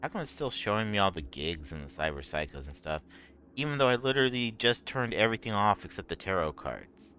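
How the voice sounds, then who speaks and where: A man streaming a game, talking in a rambling, halting way with many fillers, his voice a bit rough and strained. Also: lowish voice, sing-song, sharp tone, a little hiss or hum. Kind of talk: casual gameplay commentary.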